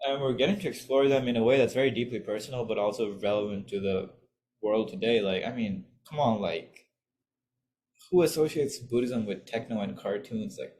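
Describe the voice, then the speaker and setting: A man's voice: on the low side, fairly quick, a little mellow. A young man from Sri Lanka, a student telling a story casually and eagerly, full of fillers. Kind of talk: casual talk in an online info session.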